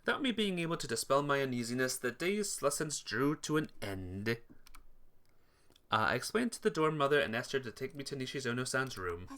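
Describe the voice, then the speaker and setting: Man reading aloud with lively, swooping pitch. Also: a little fast. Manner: stumbles over words and rereads phrases. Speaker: video game player reading aloud. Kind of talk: reading visual novel text aloud.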